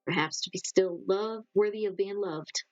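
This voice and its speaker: A woman voicing an old necromancer witch, sardonic and gruff but secretly kind, blunt and theatrical in a dramatic story. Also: medium pitch, normal speed, a little mellow, no echo, muffled recording, soft background music, lightly acted. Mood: wistful.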